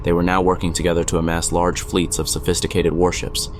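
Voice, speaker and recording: A man playing a wry gamer friend who narrates an eerie audio drama in a measured, suspenseful way. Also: low-pitched, completely flat delivery, background noise, lightly acted. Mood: ominous, measured. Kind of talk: dramatic first-person storytelling.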